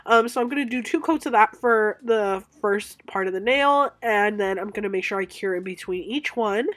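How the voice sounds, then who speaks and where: A woman's voice: mid-range voice, normal speed, a bit bright. A young woman with a congested, stuffed-up voice from being sick, chatting through a casual nail-tutorial voice-over at home, with lots of ums and self-corrections. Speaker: nail art creator.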